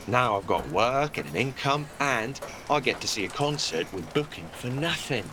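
A man acts out an easygoing wartime construction worker for an audio drama. His matey, colloquial talk rises and falls with a lot of life. Clear outdoor work-site noise sits behind him. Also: medium pitch, animated, moderate pace, lightly acted. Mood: teasing, upbeat. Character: upbeat, easygoing male Norwegian railway construction worker in wartime. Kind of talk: naturalistic radio drama acting.

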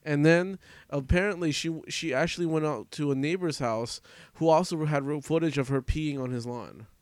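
A man with a slightly high voice chats casually as a podcast co-host. He talks informally and swears freely. The sound is dry, with no room echo. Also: moderate pace. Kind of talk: casual chat, retelling a news story.

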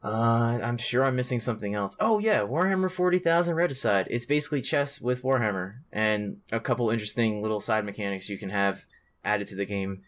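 A man with a medium-pitched voice co-hosts a gaming podcast. He chats casually and excitably, peppering his talk with slang and swearing. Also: brisk. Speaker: podcast co-host. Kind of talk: casual chat about video games.

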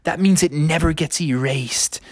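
A man voicing an earnest, excitable young creature in a near-everyday voice. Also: fairly high pitch, measured pace, no room sound, clean studio sound.

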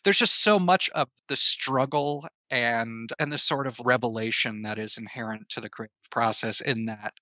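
Male interview guest, bright punchy tone, thoughtful and emphatic, dry recording. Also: mid-range voice, normal speed, few pauses, no echo. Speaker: guest, writer and audio drama creator. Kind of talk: casual, reflective interview talk.

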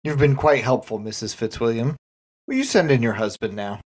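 A man's voice: mid-range voice, expressive, close to an everyday voice. Man playing a patient, probing detective. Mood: polite, inquisitive. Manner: measured, polite, insistent questioning. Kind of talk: dramatic reading of comic murder mystery.

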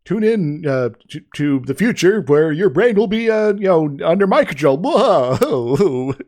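A man co-hosting a casual podcast, getting over an illness with a sore throat, talking haltingly with many restarts and fillers. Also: on the high side, big pitch swings, a little mellow. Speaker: podcast co-host.

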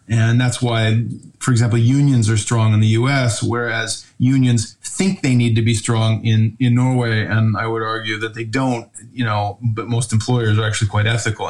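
A man's voice: mid-range voice, flat delivery, normal speed, hardly any pauses, darkish tone. A man from the United States, a guest in a casual interview, talking in a rambling way with many restarts. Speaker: podcast guest, brewery owner.

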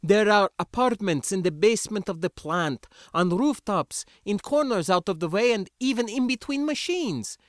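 A man voicing a scornful, quick-tempered young woman rebel in an audiobook, with emphatic, dismissive delivery. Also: sits high, lively intonation, no echo, clean studio sound, pitched above the performer's own voice. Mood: scornful, angry.

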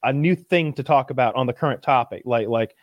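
A man's voice: medium pitch, brisk, dry recording, dull audio. A man co-hosting a casual podcast chat, rambling and stacking filler words as he talks. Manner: rambling, repeats "like" often. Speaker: podcast co-host.

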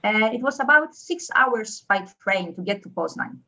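A woman's voice: mid-range voice, sing-song, normal speed, vocal fry, pushed and bright. A young woman from Poland with a Polish accent, speaking as a guest on a podcast interview, hesitant with many fillers. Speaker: engineer guest.

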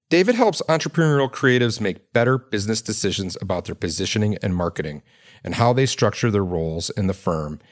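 A male podcast host with a fairly low voice, earnest in manner. He reads a prepared introduction, then asks questions in a conversational way, sometimes restarting mid-sentence.

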